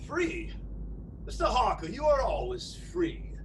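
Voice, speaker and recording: A man's voice: very high-pitched, big pitch swings, measured pace, full character voice. An actor playing a courteous, sinister vampire nobleman in a radio play, speaking in a formal, menacing, mock-polite manner. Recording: distant voice with loud background noise.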